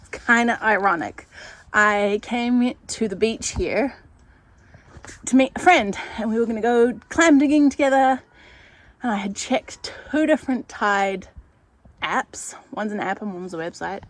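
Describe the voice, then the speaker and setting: A woman's voice: a little high, measured pace, dry recording. A woman vlogging in a casual, chatty way, her voice nasal and stuffed up from a cold. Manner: chatty, savoring, thinking aloud. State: congested with a cold. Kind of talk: casual vlog chat.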